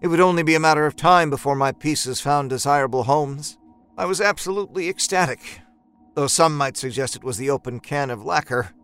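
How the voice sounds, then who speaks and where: Smooth, higher-voiced male storyteller. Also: a bit bright, soft background music. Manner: measured, deliberate, articulate. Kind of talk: dramatic first-person horror fiction narration.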